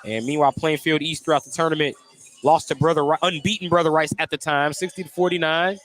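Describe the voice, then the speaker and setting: A man doing live radio play-by-play of a high school basketball game, describing the action in clipped running phrases. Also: steady tone, quick pace, fairly bright tone, soft background music. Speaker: play-by-play announcer.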